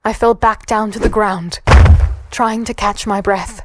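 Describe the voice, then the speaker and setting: A woman's voice: medium pitch, fairly even intonation, a little slow, background noise, close to an everyday voice. A woman playing an earnest human heroine, narrating her story in the first person with dramatic intensity for a fantasy audio drama. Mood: awed, grave.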